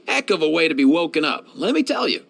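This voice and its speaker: Easygoing young male game vet, kind and slangy, lightish pitch, very animated. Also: moderate pace, gravelly, heavily acted. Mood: relaxed, friendly. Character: laid-back, kindly young male veterinarian. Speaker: video game character voice actor. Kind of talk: game voice lines, conversational.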